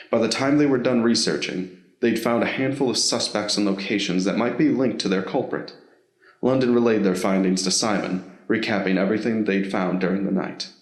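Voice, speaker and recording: A man narrates a scripted story in a fairly low voice. He reads as the narrator of an audio drama, and the recording has a noticeable roomy echo.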